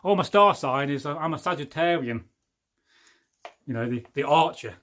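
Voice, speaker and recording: A man's voice: a little high, normal speed, fairly bright tone. A man hosts a casual livestream, chatting loosely and joking as he reads out viewers' comments. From England. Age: 40s. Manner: rambling and jokey, often losing his thread. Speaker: livestream host.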